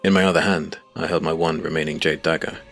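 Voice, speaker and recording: A man reading scripted first-person fiction as narrator, in a grave, measured, dramatic way. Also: very deep voice, little pitch movement, a bit bright, soft background music.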